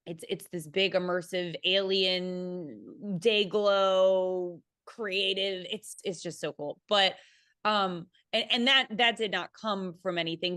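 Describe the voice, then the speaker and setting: A woman speaking casually as a theater panelist, with a halting, informal and self-deprecating way of talking. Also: medium pitch, a bit bright, clear, resonant tone, no room sound, studio quality.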